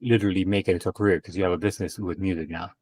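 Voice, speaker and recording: A man chatting casually as a guest artist, relaxed and quick to laugh as he talks. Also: sits low, dry recording. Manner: relaxed, laughs easily, quick back-channels. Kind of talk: casual chat on a stream.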